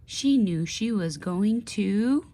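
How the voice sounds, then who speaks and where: A woman reading a children's picture book aloud in an expressive, deliberate teaching way, pausing to put questions to young listeners. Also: medium pitch. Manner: slow, deliberate, with pauses. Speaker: speech therapist.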